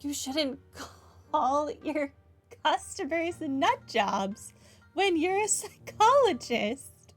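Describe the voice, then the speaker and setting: A woman's voice: high voice, lively intonation, slow, faint music behind. A woman hosting a game playthrough with casual commentary on the story. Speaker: gaming playthrough host.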